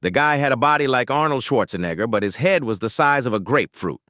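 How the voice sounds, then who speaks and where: A man's voice: medium pitch, dry recording, clean studio sound. A man reading a string of jokes aloud, shifting his voice for the people in them. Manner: lively storytelling, quick shifts into character voices. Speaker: joke teller.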